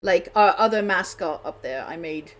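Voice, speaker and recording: A woman's voice: fairly low pitch, animated, normal speed, a bit bright. A woman hosting a radio show in casual, unscripted chat, talking in a chatty, rambling way.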